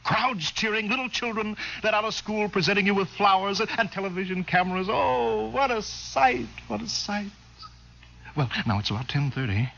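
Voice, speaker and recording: A fussy, officious man in a vintage radio play. His voice is bright and a little high, effusive and prodding about ceremony. The recording is dull and old, with music. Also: lively intonation, normal speed, gravelly, a little hiss or hum, heavily acted. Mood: rapturous. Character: fussy, gushing official obsessed with ceremony and pageantry. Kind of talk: 1950s radio drama acting.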